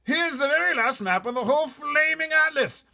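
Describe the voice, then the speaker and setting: A man voicing a blustering, panicky older air force chief in a storybook readaloud, shouting in exasperation. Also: extremely high pitch, animated, moderate pace, vocal fry, pitched above the performer's own voice, exaggerated voice. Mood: frantic, alarmed.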